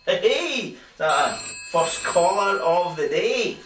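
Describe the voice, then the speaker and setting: Joking Scottish host, far and echoey. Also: male voice, high-pitched, sing-song, slow pace, background noise. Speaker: show host. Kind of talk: casual comic sports chat.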